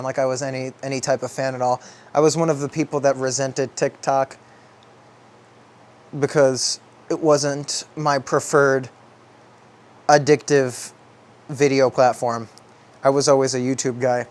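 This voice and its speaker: A young man giving a rambling, reflective monologue to an audience as a video essayist, thinking aloud haltingly and drawing out words for emphasis. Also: mid-range voice, level tone.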